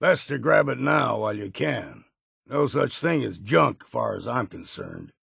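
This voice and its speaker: An actor playing a gruff, folksy, weathered old sailor in a video game, with a gravelly, raspy voice and rustic, plain-spoken phrasing. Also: male voice, mid-range voice, expressive, unhurried, a bit bright, dry recording, studio quality, full character voice. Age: older. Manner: folksy, rustic turns of phrase, dropped grammar. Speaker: video game companion character.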